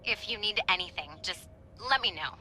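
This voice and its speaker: An actress playing an enthusiastic young history researcher in a video game, eager and gushing. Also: female voice, medium pitch, expressive, normal speed, bright, forceful tone, faint music behind. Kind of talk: video game cutscene acting.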